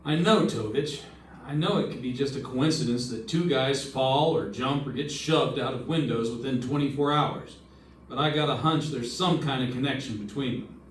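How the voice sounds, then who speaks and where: A man's voice: medium pitch, moderate pace, slight background noise, lightly acted. A man playing a dogged, wry insurance investigator in an old-time radio detective drama. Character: Johnny Dollar, dogged male insurance investigator, wry and probing. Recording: echoey stage, distant mic, faint music.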